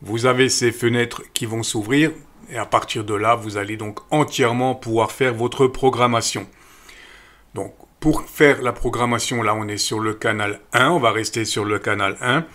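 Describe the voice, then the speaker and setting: A middle-aged man calmly walking viewers through an app step by step, with frequent fillers. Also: mid-range voice, moderate pace. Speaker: radio equipment channel host. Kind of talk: screen-recorded app tutorial voice-over.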